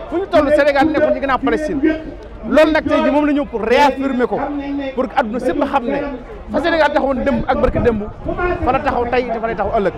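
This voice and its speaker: A man speaking as an official representative, in a formal, declamatory way. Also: very high-pitched. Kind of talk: formal address. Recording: loud crowd, outdoor rally.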